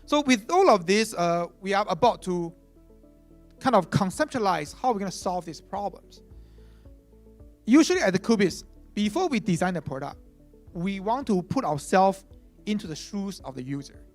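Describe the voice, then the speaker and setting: Man, native chinese speaker, product officer keynote, conversational, fillers, soft music behind. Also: high voice, expressive, moderate pace. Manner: conversational, frequent "uh" and "right?" tags. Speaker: chief product officer presenting. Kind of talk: conference keynote talk.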